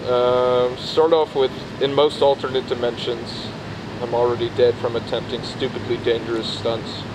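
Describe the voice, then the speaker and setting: A man's voice: medium pitch, flat delivery, normal speed. A man filming at an outdoor pool, talking in a dry, teasing way. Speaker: camera operator. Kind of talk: casual chat off camera. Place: outdoor swimming pool, behind the camera. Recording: outdoor noise.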